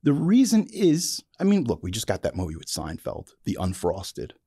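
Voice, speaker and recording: A man's voice: on the low side, wildly swooping pitch, normal speed, warm tone, slightly rough, no room sound, studio quality. A man podcast host giving an opinionated, casual monologue in a blunt, emphatic, colloquial way.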